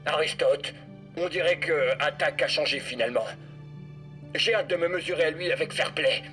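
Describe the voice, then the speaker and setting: A man's voice: on the high side, moderate pace, bright, forceful tone. A man playing an earnest, spirited young football player in a cartoon, with music behind him. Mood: sincere. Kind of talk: cartoon voice acting.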